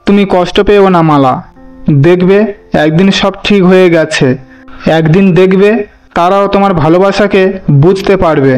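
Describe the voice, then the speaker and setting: A man voicing a devoted young husband and dutiful son in a cartoon, earnest and gentle. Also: sits high, steady tone, normal speed, pauses often, smooth, muffled recording, natural voice. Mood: reassuring. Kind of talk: Bengali moral-story cartoon voice acting. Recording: music bed under the voice.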